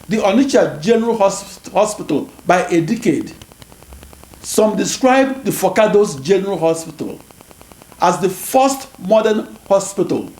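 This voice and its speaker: A Nigerian man in his sixties, whose first language is Igbo, delivers a measured, scripted lecture in a fairly high voice. He speaks deliberately and now and then corrects a word. The recording is roomy and echoey, with a faint hiss of static underneath. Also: a little mellow. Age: 60s.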